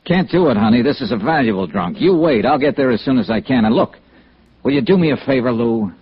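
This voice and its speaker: An American actor playing a brisk, hard-boiled insurance investigator in a 1950s radio noir drama. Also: male voice, mid-range voice, lively intonation, husky. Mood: brisk, coaxing. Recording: dull vintage radio recording.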